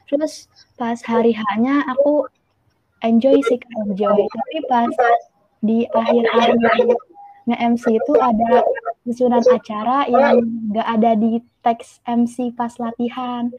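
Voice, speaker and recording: A woman's voice: fairly high pitch, flat delivery, slow, a crowd in the background. A teenage schoolgirl with an Indonesian accent answering interview questions in casual chat, on a muffled recording. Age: teenage student. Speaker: student interviewee. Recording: muffled lo-fi audio.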